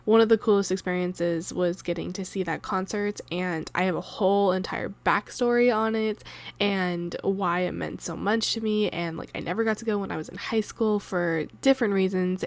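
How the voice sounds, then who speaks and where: A woman in her thirties with a raspy voice from a cold, chatting loosely and laughing in a planner voiceover. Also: medium pitch. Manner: rambling, chatty, laughs often. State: sore throat from a cold. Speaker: planner vlogger. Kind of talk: casual voiceover chat. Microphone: voiceover mic.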